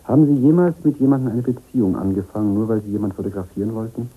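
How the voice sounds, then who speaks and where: An actor playing a cultivated, probing literary critic in a radio play, speaking in a pointed, inquisitive way. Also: male voice, fairly low pitch, rapid-fire, slightly rough, narrow, tinny audio. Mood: probing, curious. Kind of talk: radio drama dialogue.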